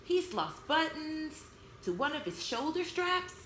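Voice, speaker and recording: A woman's voice: high voice, very animated, unhurried, fairly bright tone, pitched above the performer's own voice, heavily acted. A woman voicing a weary, dismissive mother from a storybook read aloud. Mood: weary refusal. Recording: echoey room with background noise.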